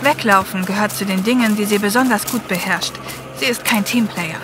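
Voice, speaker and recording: A woman's voice: medium pitch, normal speed, music in the background. A woman reading scripted story narration as the narrator of an audio drama. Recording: clear helicopter and effects noise behind. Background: ambience, helicopter, vehicles.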